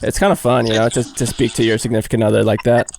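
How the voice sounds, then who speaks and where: Gravelly laid-back Midwestern podcast host. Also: male voice, mid-range voice, flat delivery, fast talker, a little mellow, slight background noise. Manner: joking, laid-back, profane. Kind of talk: casual comedy podcast chat.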